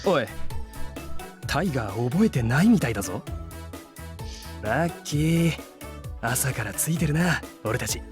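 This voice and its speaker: Man acting casual male high school student, lively pitch swings, visual novel, clear music behind. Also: brisk, pauses often, close to an everyday voice. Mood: hushed, amused.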